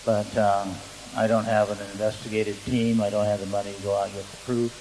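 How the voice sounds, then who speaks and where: A man answering off the cuff as a conference panelist, halting and rambling, with a joking streak. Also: fairly low pitch, flat delivery, darkish tone. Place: large conference hall, through PA. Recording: hissy conference hall, long reverb.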